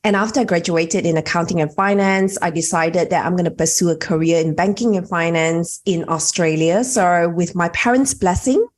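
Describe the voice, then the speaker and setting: Brief: woman guest from Malaysia, lowish voice, chatty storytelling. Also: normal speed, few pauses. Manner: chatty, with frequent fillers. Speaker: guest networking coach. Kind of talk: conversational storytelling.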